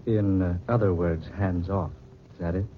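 A man's voice: deep voice, fairly even intonation, moderate pace, natural voice. A man acting in a 1940s radio drama as a suave, cold-blooded adulterer, with an oily, mocking manner. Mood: smug, mocking. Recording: muffled, noisy 1940s radio recording.

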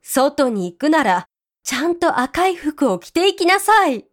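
A woman's voice: sits high, very animated, measured pace. A woman acting a strict, anxious mother in a voice drama, talking in blunt everyday speech. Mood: scolding, alarmed.

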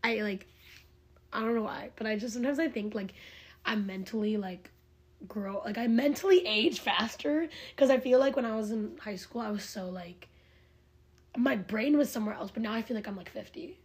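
A woman's voice: fairly high pitch, normal speed, a little mellow. 19 yo girl rambling on a podcast, like, tons of filler words, super casual. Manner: chatty, many fillers and restarts. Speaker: podcast co-host. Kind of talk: casual chat.

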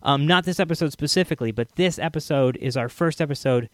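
A man with a slightly high voice hosts a podcast in a very dry recording. He chats casually and a little haltingly, addressing his listeners directly. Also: no room sound. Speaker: podcast host. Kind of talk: casual podcast introduction to old radio shows.

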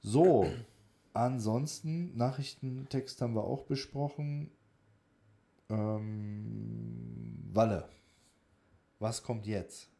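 A man's voice: mid-range voice, big pitch swings, extremely slow, gravelly, pauses often, warm tone. A man co-hosting a podcast in casual, conversational talk. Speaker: podcast co-host.

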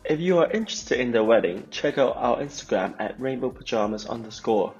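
A man's voice: medium pitch, animated, fairly quick, a little mellow, slightly rough. A man hosting a podcast, reading an upbeat, promotional intro. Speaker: podcast host. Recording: muffled with faint music behind.

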